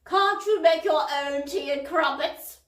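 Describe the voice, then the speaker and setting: A woman's voice: sits high, big pitch swings, moderate pace, a bit bright, voice pushed up. A woman voicing a stern, prim older woman who is easily offended, recorded in a roomy space at some distance from the mic. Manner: prim, haughty.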